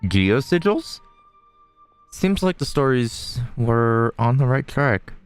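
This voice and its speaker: A man chats casually over a video game. His voice is clear and smooth, his remarks offhand and wry. Faint music plays behind him. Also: mid-range voice, sing-song, takes it slowly. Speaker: streamer playing a video game. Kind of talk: casual gameplay commentary.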